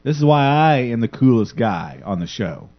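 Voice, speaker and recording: A man's voice: mid-range voice, sing-song, normal speed. A man co-hosting a casual internet radio talk show, joking and bantering loosely with his partner. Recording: dull lo-fi audio.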